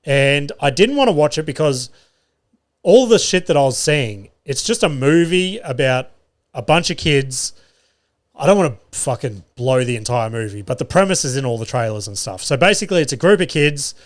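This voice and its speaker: A man's voice: mid-range voice, lively intonation, moderate pace. A young man hosting his solo podcast, telling comedy-gig stories in a loose, sweary way and laughing at his own jokes. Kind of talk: casual solo podcast storytelling. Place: studio.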